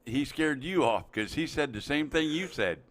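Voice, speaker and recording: A man's voice: a little high, lively intonation, normal speed, fairly bright tone. A man hosting a live show, chatting casually and joking as he reads out viewer names. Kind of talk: casual live-stream chat.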